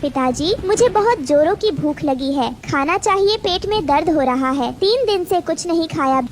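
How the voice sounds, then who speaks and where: A pitiful, hungry little girl bird from a cartoon, put on by a woman. Her voice is extremely high, whining and pleading, with faint noise behind it. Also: animated, normal speed. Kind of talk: Hindi cartoon story acting.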